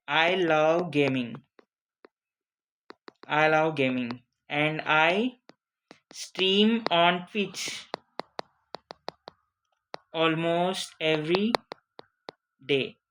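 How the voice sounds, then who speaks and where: A non-native English speaker gives an informal lesson at a slow, halting pace. The teacher repeats short phrases and keeps checking for understanding. The pitch sits in a middle range, with faint noise behind the voice. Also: fairly bright tone. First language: not English. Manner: halting, repetitive, frequently checking with "okay?". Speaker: online teacher of interview English. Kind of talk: informal spoken lesson with examples.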